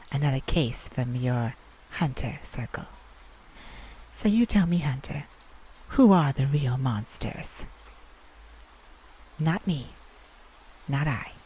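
A woman playing a proud, wounded, caring male vampire in an intimate, emotional ASMR audio drama, with a husky, confessional delivery. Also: deep voice, warm tone, full character voice, rough recording. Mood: guarded, soft. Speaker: audio roleplay performer.

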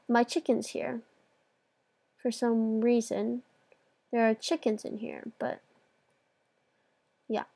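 High-voiced woman giving a casual game tour. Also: darkish tone. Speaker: host of a video game tour. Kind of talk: casual gameplay commentary.

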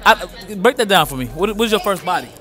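A man's voice: high voice, lively intonation, quick pace, music in the background. A young man puzzled by a street interview question who brushes it off. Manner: confused, questioning, dismissive.

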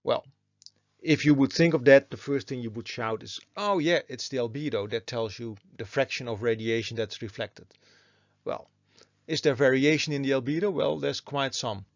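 A man's voice: medium pitch, moderate pace, dry recording, dull audio. A man giving a methodical, step-by-step explanatory lecture as a university teacher over slides.

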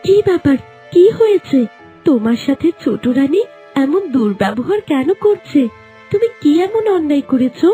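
A woman voicing a kind, gentle enchanted girl in a fairy-tale cartoon, speaking with concern and comfort. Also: high voice, sing-song, normal speed, soft background music. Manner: concerned, consoling.

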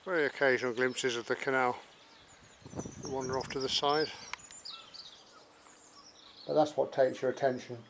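A man's voice: mid-range voice, steady tone, moderate pace, long pauses, background noise. A man narrating a walk outdoors, chatty and admiring, with wry asides. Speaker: walking vlogger narrating. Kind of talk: casual walking commentary. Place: outdoors by a rural estuary and canal.

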